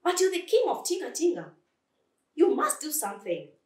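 A woman's voice: on the high side, very animated, unhurried, roomy sound, distant mic, voice pushed up. A woman voicing a tiny, loyal flea who pleads and encourages in a storybook tale. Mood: pleading. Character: tiny, earnest flea, loyal urging friend.

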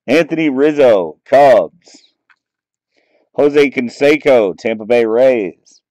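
A man talks slowly and easily as he runs through pack after pack, calling out names and teams in a relaxed, chatty way. His voice sits at an ordinary middle pitch. The sound is dry and close, with no room echo, from a camera mic on a table. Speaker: card break host. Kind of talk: casual commentary while opening trading card packs.

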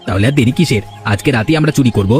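A man's voice: mid-range voice, racing, darkish tone, natural voice. A man voicing a deferential, scheming henchman of a village boss in a fairy-tale cartoon, eager to please and conspiratorial. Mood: conspiring. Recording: music behind the voice.

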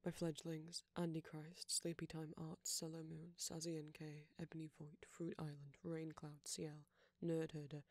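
A man who makes ASMR audio, reading a scripted channel outro in a soft-spoken way. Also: fairly high pitch, monotone, moderate pace, hardly any pauses, no echo. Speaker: ASMR voice actor and creator.

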